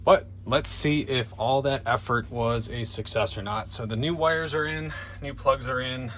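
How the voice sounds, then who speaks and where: A man's voice: mid-range voice, unhurried, a bit bright, a little hiss or hum. A man talking informally and thinking aloud in a casual car repair vlog, narrating his own work. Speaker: DIY car repair vlogger.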